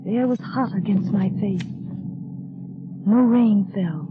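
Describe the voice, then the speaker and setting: An actress playing a gentle, anxious young wife in an old radio drama, earnest and wistful in her delivery. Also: mid-range voice, slow pace, noisy background, lightly acted. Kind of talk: 1930s radio drama narration and acting. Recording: muffled old radio broadcast, music behind.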